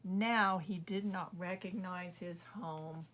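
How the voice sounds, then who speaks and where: A woman reading her own children's book aloud as a measured, expressive storyteller. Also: fairly low pitch. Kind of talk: storybook narration read aloud.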